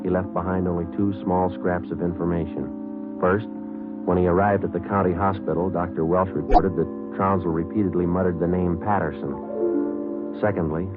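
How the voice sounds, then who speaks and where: An actor playing a terse police detective sergeant in a 1950s radio crime drama, with an American accent and clipped, matter-of-fact delivery. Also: male voice, sits low, lightly acted. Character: terse, businesslike male police detective sergeant narrating his case. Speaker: lead detective and narrator. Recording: muffled old radio broadcast recording with music.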